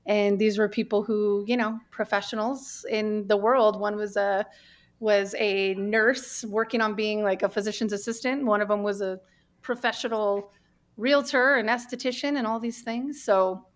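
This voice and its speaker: An American woman from New Mexico telling her personal story candidly in conversation, earnest and halting, repeating words for emphasis. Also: medium pitch, steady tone. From New Mexico, United States.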